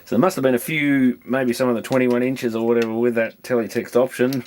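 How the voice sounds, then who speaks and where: A man's voice: mid-range voice, fairly even intonation, fairly quick, a little mellow. A man giving casual, hands-on repair commentary, thinking aloud in a rambling, matter-of-fact way as he works through electronics. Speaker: electronics repairer presenting a video.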